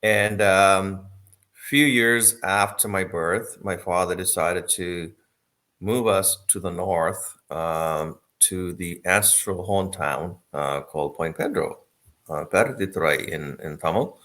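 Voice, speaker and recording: Low-voiced man from Sri Lanka, unhurried. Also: a bit bright. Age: 50s. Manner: measured, with frequent hesitations and self-deprecating humor. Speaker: interview guest. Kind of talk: remote interview, recounting life story.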